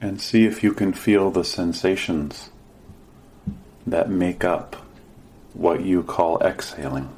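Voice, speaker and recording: A man leading a guided meditation as a teacher, giving gentle, sparse instructions with long pauses. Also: low-pitched.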